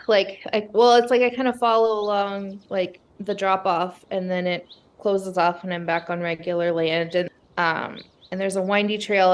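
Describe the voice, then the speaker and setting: A woman being coached, talking casually and thinking aloud with a halting, filler-heavy delivery. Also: medium pitch. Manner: halting, conversational, frequent hedging fillers.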